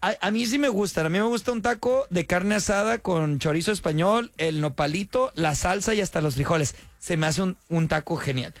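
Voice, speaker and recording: A man with a Mexican accent hosting a radio show, chatting casually with slang and quick asides. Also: sits high, no room sound. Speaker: radio host. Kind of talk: casual radio call-in chat. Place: radio studio.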